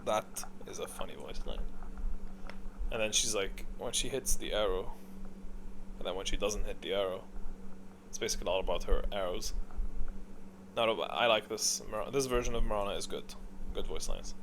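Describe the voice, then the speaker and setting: A man's voice: on the low side, moderate pace, pauses often, a bit bright. Man rambling through opinions, noisy recording. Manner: rambling, thinking aloud; blunt, opinionated. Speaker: gaming video creator. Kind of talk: casual opinionated tier-list commentary.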